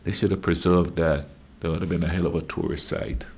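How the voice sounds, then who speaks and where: A man in his fifties from the Caribbean, reacting to a travel video in a slow, musing way with drawn-out fillers. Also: deep voice, fairly even intonation, darkish tone, slight background noise. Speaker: reaction channel host. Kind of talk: casual reaction commentary.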